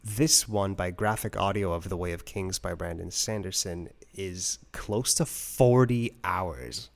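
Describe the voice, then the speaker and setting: A man's voice: low-pitched, measured pace, vocal fry. A man hosting a podcast, chatting casually and thinking aloud in a conversational way. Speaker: podcast host and interviewer. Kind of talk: casual review chat.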